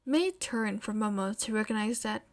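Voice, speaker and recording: A woman's voice: mid-range voice, expressive, normal speed. A woman reading scripted fiction aloud as an audiobook-style narrator. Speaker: podfic narrator. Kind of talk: scripted story narration, with casual chat afterwards.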